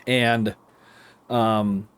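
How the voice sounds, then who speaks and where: A man with an American accent giving casual, explanatory commentary over a simulator game, thinking aloud in a halting, rambling way. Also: fairly low pitch, flat delivery, a bit bright, clear, resonant tone. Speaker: air traffic controller streaming a simulator game.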